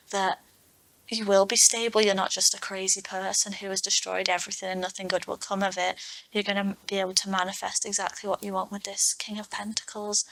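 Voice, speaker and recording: A woman reads tarot for online viewers, explaining each card in a reflective, unscripted way. Her pitch stays fairly even and sits in the middle range for a woman. The tone is bright, with a noticeable crackle of fry. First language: English. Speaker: tarot reader, online host.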